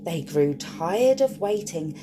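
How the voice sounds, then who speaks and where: A woman's voice: lowish voice, lively intonation, slow, background noise. A woman reading a picture book aloud to young children in a sing-song storytime voice, often stopping to ask the listener questions.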